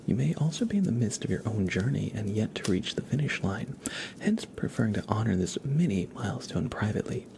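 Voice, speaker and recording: A soft-spoken man whispering gently and politely in a casual ASMR livestream, chatting with viewers and reading cards. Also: fairly low pitch, very animated, a little fast, creaky, background noise.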